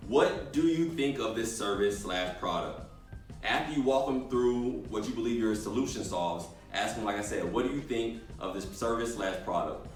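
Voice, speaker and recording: A young man giving a casual motivational talk on entrepreneurship, telling stories and acting out voices. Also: mid-range voice. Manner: animated storyteller, acts out voices in anecdotes. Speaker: entrepreneurship coach. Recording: echoey room, distant mic, music behind.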